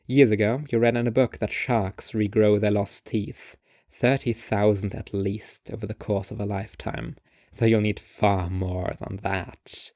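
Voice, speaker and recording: Male narrator for literary short fiction, lower-pitched, closely recorded without room sound. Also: flat delivery, a little slow, a little mellow, dry recording, studio quality. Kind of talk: scripted second-person story narration.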